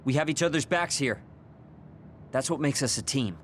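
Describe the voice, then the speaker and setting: A man's voice: mid-range voice, moderate pace, slight background noise, natural voice. An actor playing a blunt, prickly young man in an anime video game dub. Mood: earnest, firm.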